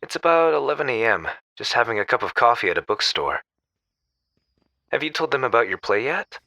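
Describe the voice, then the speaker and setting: Caring male friend, acted by a man: bright forward tone, mid pitch, inquisitive, conversational audio drama. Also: big pitch swings, brisk, gravelly, no room sound, dull audio, heavily acted. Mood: friendly. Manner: conversational, attentive.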